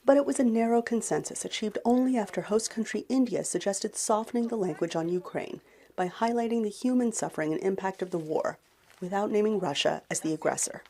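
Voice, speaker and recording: A woman correspondent delivering a measured news report. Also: medium pitch, mellow.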